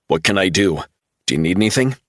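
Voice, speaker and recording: A man voicing a steady, matter-of-fact man who talks a grieving friend through a crisis. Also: low voice, quick pace, dry recording, studio quality. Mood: calm concerned. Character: steady, blunt adult man, concerned friend.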